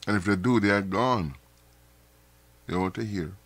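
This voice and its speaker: Deep-voiced man rambling on a live stream. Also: moderate pace, no echo. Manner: rambling, repeats words and restarts often. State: nasal and throat irritated from dust. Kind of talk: casual monologue to viewers.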